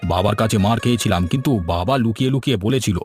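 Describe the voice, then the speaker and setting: A man's voice: fairly low pitch, quick pace, darkish tone, faint music behind, close to an everyday voice. A man playing a nostalgic, folksy old village husband in a cartoon, often complaining. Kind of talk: cartoon dialogue.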